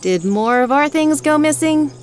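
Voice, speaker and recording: A woman's voice: high voice, lively intonation, moderate pace. A woman playing a practical, affectionate wife and mother in a fantasy audio drama, with a matter-of-fact delivery. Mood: attentive.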